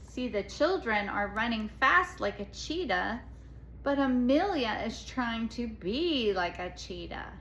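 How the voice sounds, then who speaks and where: A woman's voice: fairly high pitch, animated, slow, a bit bright, slight background noise, poor audio. A woman reading a storybook aloud to children, expressively, with explanatory asides and questions to the listeners.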